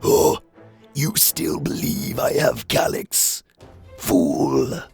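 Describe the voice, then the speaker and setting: A man voicing a defiant, sneering alien prisoner in a radio play, gravelly, spitting out long, mocking words. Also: medium pitch, sing-song, darkish tone, faint music behind, voice pushed up, full character voice. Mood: contemptuous, boastful. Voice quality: gravelly, creaking. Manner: spitting words out, drawn-out mockery.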